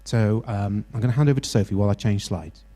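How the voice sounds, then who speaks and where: Brit guy running a workshop in a hall, warm low-key voice, stops and restarts a lot, faint hum. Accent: British. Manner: encouraging, halting with many restarts. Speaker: workshop facilitator. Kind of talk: interactive workshop teaching.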